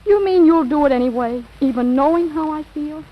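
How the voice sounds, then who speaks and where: High-pitched, wheedling teenage girl played by a woman. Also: brisk, lo-fi sound, natural voice. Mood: coaxing, worried. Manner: breathless pleading, melodramatic. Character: dramatic, wheedling fifteen-year-old girl. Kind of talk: 1940s radio drama acting. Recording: noisy old radio broadcast recording.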